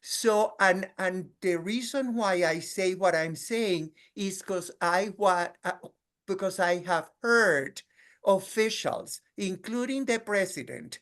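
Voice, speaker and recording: A man's voice: sits high, slow pace, a bit bright. Colombian man presenting with a Spanish accent. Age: middle-aged. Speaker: guest speaker, clinical social worker. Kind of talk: webinar presentation.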